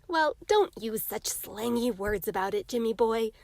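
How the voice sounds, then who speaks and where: A woman voicing a gentle, worried sixteen-year-old big sister in a soft, tender storybook read-aloud. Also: a little high, expressive, unhurried, creaky, dry recording. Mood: gently cautioning.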